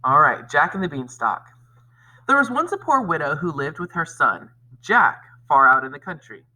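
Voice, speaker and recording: A male elementary teacher presents a lesson and reads aloud to children. His pitch moves up and down freely and his tone is bright and forward. He speaks expressively and addresses the pupils directly. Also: very animated, normal speed, low-quality recording.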